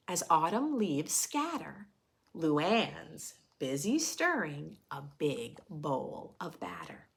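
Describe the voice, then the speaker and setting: A woman's voice: lowish voice, creaky. A woman hosting a read-aloud for preschoolers, expressively reading a picture book and adding simple teaching questions and explanations in a dramatic way.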